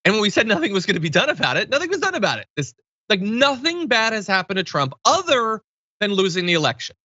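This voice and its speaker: A man's voice: sits high, very animated, fast talker, sharp tone, no echo, dull audio. A man hosting a political talk show, giving informal, sardonic commentary in a conversational way.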